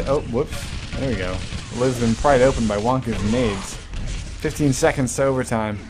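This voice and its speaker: A man giving casual, joking play-by-play commentary on an online game match, swearing freely. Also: medium pitch. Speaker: esports commentator.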